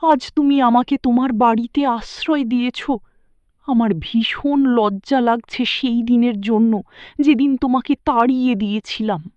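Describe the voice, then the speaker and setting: A woman voicing a stingy old village woman in a cartoon moral story, with an expressive, dramatic delivery. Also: on the high side, measured pace, dry recording, lo-fi sound, lightly acted. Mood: ashamed, remorseful.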